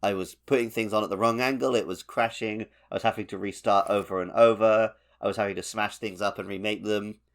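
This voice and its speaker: A man with a fairly low-pitched voice talks as a podcast co-host. His delivery is informal and conversational, the kind used for talking through a video game with friends. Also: steady tone, fairly quick, hardly any pauses. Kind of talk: casual video game discussion.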